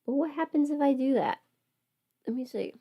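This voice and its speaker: A woman's voice: high-pitched, expressive, moderate pace, no echo, lo-fi sound. A woman casually chatting on a livestream while doing her makeup, playful and absent-minded, muttering to herself in a close-miked voice. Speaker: livestream host, voice performer.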